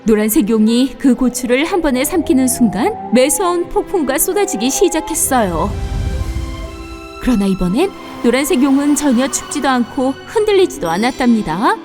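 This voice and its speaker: A woman narrating a scripted fairy tale for children in an expressive storybook manner. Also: sits high, normal speed, music in the background.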